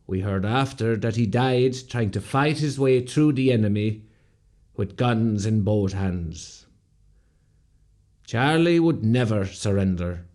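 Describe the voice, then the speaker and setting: A man's voice: on the low side, slow, darkish tone. An actor playing a solemn rebel commander, narrating his memories of battle reflectively in a radio play. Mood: mournful, reverent.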